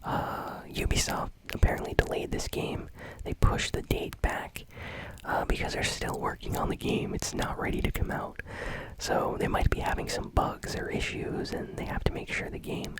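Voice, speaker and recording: A man whispering gaming news in a relaxed ASMR style, chatty and full of fillers. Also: moderate pace, rough recording. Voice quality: unvoiced whisper. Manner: casual whisper with many fillers.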